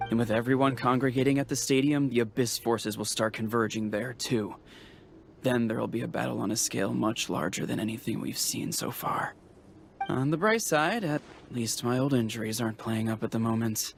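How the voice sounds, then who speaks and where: A man playing a gravelly, battle-worn warrior. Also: mid-range voice, lightly acted. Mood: wry resolve. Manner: grim, matter-of-fact. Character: battle-worn male warrior, grim and pragmatic. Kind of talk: video game character acting.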